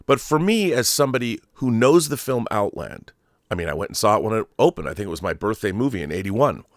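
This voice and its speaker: A man in his fifties hosting a film commentary show, talking to viewers in an emphatic, deliberate monologue with stressed pauses and repeated phrases. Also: fairly low pitch, sing-song, no room sound, clean studio sound. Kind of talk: opinionated monologue to viewers about film collectibles.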